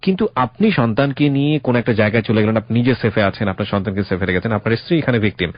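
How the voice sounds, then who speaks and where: A man's voice: lowish voice, rapid-fire, no echo, muffled recording. A man with a Bangladeshi accent presenting a radio interview, asking probing questions and dropping in English phrases. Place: radio studio.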